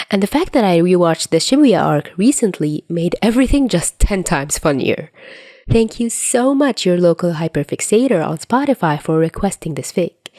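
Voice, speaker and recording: Lively woman hosting an audio fiction channel. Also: lowish voice, no room sound, studio quality. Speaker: podfic narrator and channel host. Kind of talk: scripted channel announcements and outro.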